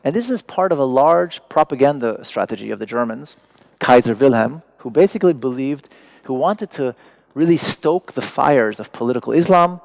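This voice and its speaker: A man's voice: mid-range voice, animated, moderate pace, creaky, low-quality recording. A man, a native Persian speaker, giving a talk to an audience, wry and digressive with joking asides. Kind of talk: lecture to an audience.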